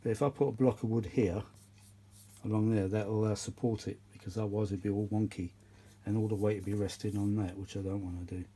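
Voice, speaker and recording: A man's voice: lowish voice, fairly even intonation, brisk, mellow, breathy edge. A British man giving casual, unscripted repair commentary in a rambling, grumbling, blunt way. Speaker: host of vintage audio repair channel.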